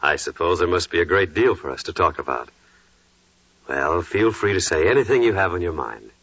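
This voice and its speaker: An actor playing a hospitable, plain-spoken carpenter in a biblical 1940s radio drama, with a hearty, earnest manner. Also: male voice, sits low, animated, a little fast, a bit bright, slightly rough, no room sound, heavily acted. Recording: muffled 1940s radio recording.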